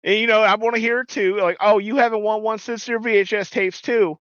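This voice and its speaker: A man's voice: sits high, fairly even intonation, fairly quick, fairly bright tone, dry recording, lo-fi sound. A man putting on a blustery, boastful middle-aged football head coach with a Southern accent, indignant and swaggering, calling everyone sir. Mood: mocking. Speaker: podcast co-host in character. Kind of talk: comedic character bit.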